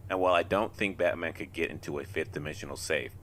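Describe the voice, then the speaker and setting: An actor in an audio drama playing a young, earnest, mild and conflicted superhero who speaks in a measured way and halts under pressure. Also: male voice, a bass voice, fairly bright tone, a little hiss or hum. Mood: calm, musing.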